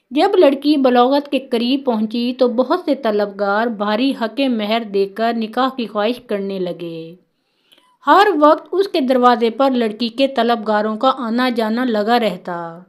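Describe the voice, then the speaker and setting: A woman narrating a scripted moral tale as a storyteller. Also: a little high.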